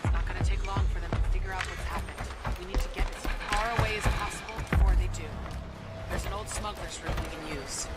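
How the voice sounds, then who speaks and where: An actress playing a guarded, intense rebel operative in a video game, speaking in an earnest, terse, insistent way. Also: female voice, fairly low pitch, fairly even intonation, quick pace, natural voice. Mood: urgent. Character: determined half-Vektan woman rebel operative, guarded and intense. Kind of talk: dramatic video game acting. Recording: loud music behind the voice.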